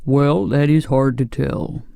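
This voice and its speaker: A narrator voicing a grave, polite pumpkin-headed man from a storybook, speaking in a solemn, formal and measured way. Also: mid-range voice, fairly even intonation, gravelly, mellow, smooth, dry recording. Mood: grave, mild.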